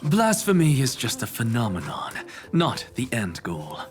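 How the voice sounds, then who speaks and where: A slow, theatrical scholar. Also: male voice, medium pitch, wildly swooping pitch, soft background music. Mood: philosophical. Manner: pompous, musing, deliberate. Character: self-assured scholarly male professor, irreverent, theatrical, philosophical. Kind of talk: video game character acting.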